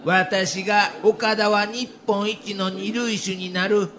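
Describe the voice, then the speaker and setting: A man's voice: high-pitched, flat delivery, takes it slowly, fairly bright tone. A man playing a stubborn, middle-aged baseball manager with a Kansai accent, speaking firmly in an audio documentary drama. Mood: needling.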